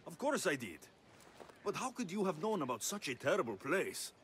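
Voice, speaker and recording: An actor playing a wry, confident young Greek mercenary hero in a video game, with a dry, teasing delivery. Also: male voice, on the high side, very animated, moderate pace, fairly bright tone, a little hiss or hum. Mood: questioning, earnest. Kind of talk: video game cutscene dialogue.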